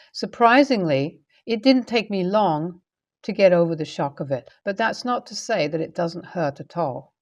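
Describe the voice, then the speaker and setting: A woman's voice: on the low side, a little mellow, lo-fi sound. A woman reading a scripted first-person relationship story as a narrator.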